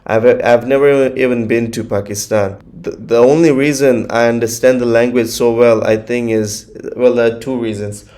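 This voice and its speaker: Man, first language Gujarati, relaxed reaction-video host, middle pitch, picky and chatty. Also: normal speed, hardly any pauses. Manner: chatty, nitpicking, many fillers. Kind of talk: casual reaction commentary.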